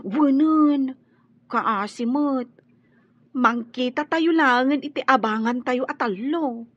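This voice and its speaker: A woman's voice: high-pitched, slow, slightly rough, no echo, full character voice. An actress with an Ilocano accent playing a young, plaintive and loyal servant woman in a radio drama. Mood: earnest, persuasive. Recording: dull, dry lo-fi studio recording.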